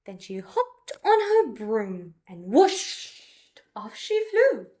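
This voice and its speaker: A woman reading a rhyming picture book aloud to children, expressive and dramatic, stretching out key words. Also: medium pitch, extremely slow. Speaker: children's story reader.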